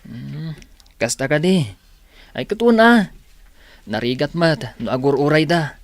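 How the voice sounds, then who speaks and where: A man's voice: a little high, lively intonation, a little slow, dry recording. A man playing a meek, tired young student with an Ilocano accent in a radio comedy drama. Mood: mild.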